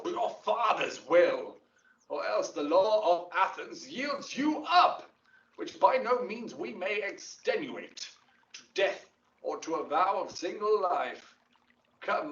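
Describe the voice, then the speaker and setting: A man's voice: high voice, fairly bright tone, heavily acted. A man playing a courtly, firm ruling duke in a Shakespearean audio drama, speaking in a stately, commanding way. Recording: distant, roomy, dull playback.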